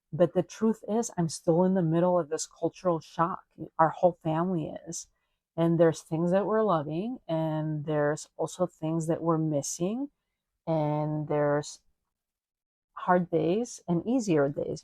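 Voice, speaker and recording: Low-voiced reflective podcast woman from Spain. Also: a little mellow, no echo, rough recording. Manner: reflective, chatty, frequent "you know" and "like". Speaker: podcast host. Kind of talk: personal solo podcast monologue.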